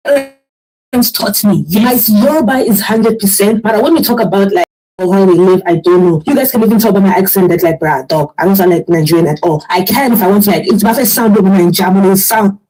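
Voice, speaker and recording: A woman's voice: mid-range voice, brisk, warm tone, low-quality recording. Casual young woman vlogging. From South Africa. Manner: casual, slangy, confiding. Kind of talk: vlog narration.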